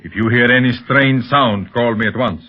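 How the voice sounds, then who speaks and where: An actor playing a sinister criminal mastermind in a 1930s radio serial, speaking in a measured, imperious, calculating way. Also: male voice, mid-range voice, lightly acted. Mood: commanding, intent. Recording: muffled old 1930s radio recording, faint music.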